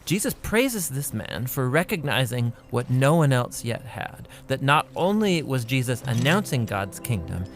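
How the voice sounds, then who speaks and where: A man's voice: medium pitch, sing-song, measured pace, few pauses. A man co-hosting an educational video, giving scripted but conversational explanations with emphatic phrasing. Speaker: explainer, co-host of an educational Bible video series. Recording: music bed behind the voice. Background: clear background music.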